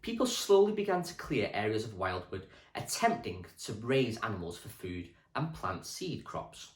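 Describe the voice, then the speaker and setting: A man, a primary school teacher, reading a children's history book aloud with clear, expressive storytelling for children. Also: lowish voice, normal speed. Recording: echoey room.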